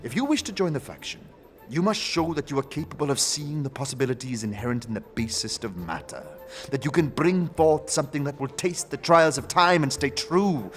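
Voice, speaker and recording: Casting: actor as jovial older fantasy leader, grand and theatrical, high-lifted animated delivery over music. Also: male voice, in a large hall, close to an everyday voice. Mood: grand, persuasive. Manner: grand, expansive, theatrical, drawn-out phrasing. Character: sturdy, hearty, jovial older man, faction leader. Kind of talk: fantasy audio drama acting.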